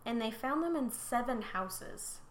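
A young woman co-hosting a casual true-crime podcast, talking bluntly and swearing freely with quick joking asides. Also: a little high, animated, a loud crowd. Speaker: podcast co-host.

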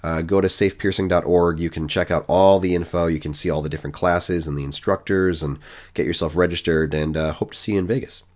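Deep-voiced man, stuffy from a cold. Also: fairly quick, dull audio. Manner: relaxed, rambling, many fillers and restarts. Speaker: podcast host. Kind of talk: casual solo podcast monologue.

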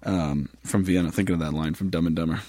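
A man's voice: deep voice, steady tone, fast, mellow, no echo, clean studio sound. A man in his thirties co-hosting a podcast in casual chat, joking and laughing, stumbling over foreign names. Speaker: podcast co-host.

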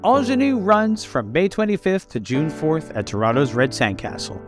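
A man's voice: on the high side, animated, moderate pace, over music. Guy hosting a podcast, voice moves up and down a lot. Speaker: podcast host and interviewer. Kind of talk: scripted podcast narration and interview.

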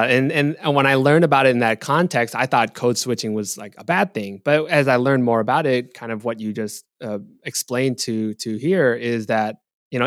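A man's voice: mid-range voice, no room sound, studio quality. A man speaking as a guest on a podcast, talking things through thoughtfully with hedges and restarts. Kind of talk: casual podcast discussion.

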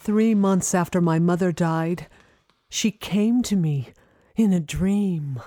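A woman playing a grieving grown daughter, narrating an intimate audio drama about memories of home with tender, dramatic pauses. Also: lowish voice, mellow. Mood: tender, hushed. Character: grieving grown daughter recalling her childhood home with wonder. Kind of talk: intimate first-person audio drama monologue.

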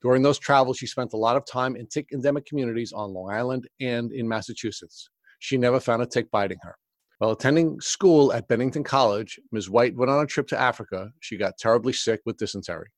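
A man's voice: medium pitch, fast, no echo. A man speaks as the host of an interview program, with a mid-range voice and a close, dry sound. He presents in a prepared, scripted way and puts questions to a guest.

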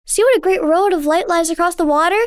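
A woman's voice: extremely high pitch, quick pace, no echo, clean studio sound, voice pushed up. A woman voicing a sweet, curious young girl in a storybook, with an eager, earnest, questioning manner. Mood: dreamy wonder.

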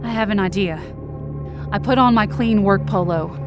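A woman's voice: mid-range voice, moderate pace, music in the background, natural voice. A woman playing a wounded, angry, defiant young woman, narrating in the first person with intense feeling in an audio drama. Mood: urgent, anxious. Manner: intense, emotive.

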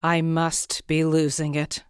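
A woman's voice: deep voice, monotone, takes it slowly, clear, resonant tone, no room sound, studio quality. A woman playing an easily flustered, devoted scientist in an audio storybook drama. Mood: startled.

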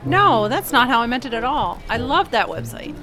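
An actress playing a shy, socially awkward young gamer woman with a quick temper, in a romantic comedy audio drama. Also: on the high side, sing-song, over music. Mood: eager.

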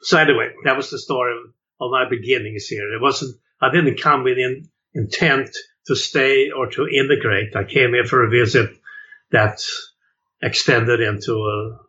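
A man's voice: mid-range voice, steady tone, moderate pace, bright, forceful tone, muffled recording. A man in his seventies from Sweden, a jazz clarinetist telling his story in an interview, hesitant with many fillers and repeated words.

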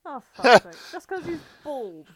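A woman's voice: sits high, very animated, unhurried, fairly bright tone. Blunt, sweary woman in casual banter. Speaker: podcast co-host.

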